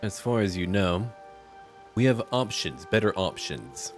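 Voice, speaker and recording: A man with a low voice commenting casually on a role-playing game. His delivery is laid-back and musing but varies a lot in pitch, with faint music behind him. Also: big pitch swings, unhurried. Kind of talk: casual game commentary, speaking dialogue choices aloud.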